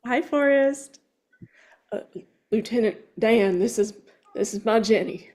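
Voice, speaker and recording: A woman reading the part of a simple, earnest, tender-hearted man at a table read, with a halting, stammering delivery. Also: fairly high pitch, a little mellow, lightly acted. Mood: shy, proud. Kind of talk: informal screenplay table read.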